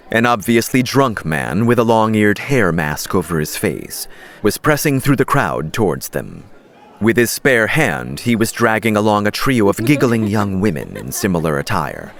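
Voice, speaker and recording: Male narrator for fiction audiobook: lower-pitched, lively intonation, storytelling read.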